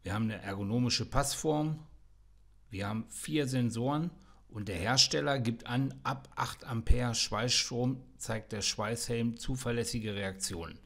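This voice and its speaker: Male reviewer, mid-pitched, easygoing hands-on explainer. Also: a little slow, creaky, fairly bright tone. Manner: casual, practical explaining with fillers. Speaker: welding equipment reviewer. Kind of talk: product review voice-over.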